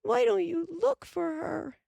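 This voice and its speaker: A woman voicing a scared young pioneer girl with a high, pleading voice. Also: sing-song, slow, breathy edge, no echo, full character voice.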